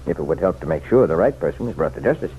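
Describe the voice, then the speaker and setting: A muffled, slightly hissy vintage radio recording carries a nosy small-town man with a deep voice. He is folksy and chummy on the surface, insinuating and persuasive underneath, and his pitch swings in a lively way. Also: very fast, breathy edge, heavily acted. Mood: earnest, pressing. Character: insistent, insinuating townsman, nosy self-appointed helper to the sheriff. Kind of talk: old-time radio drama acting.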